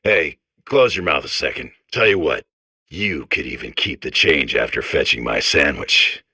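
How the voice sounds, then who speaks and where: A man's voice: very deep voice, very animated, normal speed, bright, forceful tone, husky, no room sound, clean studio sound, exaggerated voice. A man playing an overeager, chummy robot core in a comedic video game, rambling earnestly with backhanded condescension. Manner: rambling, casual, insistently earnest.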